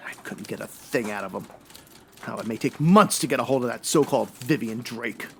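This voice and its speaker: A man's voice: mid-range voice, brisk, creaky, slight background noise, natural voice. A man playing a tough, hot-headed, sardonic ex-cop detective in a comedic old-time radio noir. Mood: dejected. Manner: hard-boiled, sardonic.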